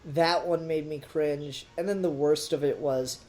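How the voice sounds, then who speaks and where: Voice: medium pitch, fairly even intonation, measured pace, darkish tone. A podcast co-host giving a casual, blunt and emphatic critique in conversation. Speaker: podcast co-host reviewing an audio drama.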